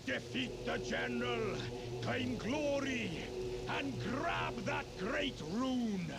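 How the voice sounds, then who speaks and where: An actor playing a grand, theatrical festival master in a fantasy game, proclaiming ceremoniously to warriors. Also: male voice, extremely high pitch, slow pace, heavily acted. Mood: rousing. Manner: declamatory, ceremonious. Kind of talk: video game herald's proclamation. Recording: loud music behind the voice.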